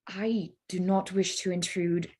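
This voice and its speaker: A woman playing a guarded young gentlewoman in a period drama reading, polite and reserved. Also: fairly low pitch, fairly even intonation, a little slow, breathy edge. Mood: flustered courtesy. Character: poised, guarded young gentlewoman, Lizzie Bennet.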